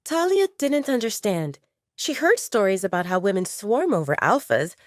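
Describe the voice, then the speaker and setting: A woman's voice: mid-range voice, big pitch swings, normal speed, dry recording, clean studio sound. A woman narrating a scripted audio drama as a storyteller.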